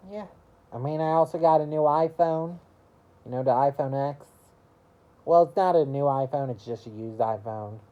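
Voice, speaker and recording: A woman in her early twenties chatting casually to camera about her year, rambling and halting, with lots of "you know" fillers. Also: low voice, warm tone. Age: early 20s. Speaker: vlogger.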